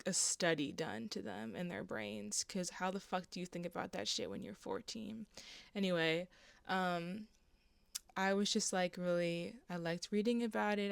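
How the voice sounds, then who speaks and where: Young woman, raspy and hoarse, casual candid vlog chat, gravelly, dry close sound. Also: medium pitch, dry recording. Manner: casual and candid, with frequent fillers and swearing. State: hoarse from an allergic reaction. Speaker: vlogger.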